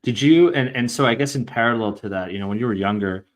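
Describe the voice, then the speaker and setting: A man's voice: mid-range voice, fast talker. A man hosting an interview show, asking long rambling questions full of fillers. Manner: rambling questions full of "you know" and restarts. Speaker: podcast host and immigration lawyer.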